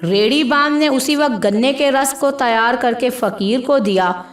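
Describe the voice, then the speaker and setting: High-voiced, slow-paced woman storyteller. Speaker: story narrator. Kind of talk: scripted narration of an Urdu moral story. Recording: echoey room with background music. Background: music.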